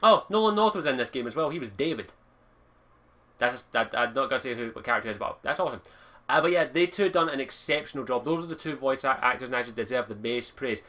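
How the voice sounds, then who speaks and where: A young man from Scotland with a Lowland Scottish accent, reviewing a video game in a casual, enthusiastic monologue full of swearing and restarts. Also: lively intonation, quick pace, pushed and bright.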